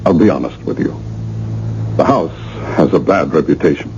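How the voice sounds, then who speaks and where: A man playing a guarded real estate agent in a radio drama, halting and grave. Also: rumbling low, lively intonation, creaky, darkish tone, heavily acted. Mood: uneasy, grave. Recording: dull, hissy old radio recording.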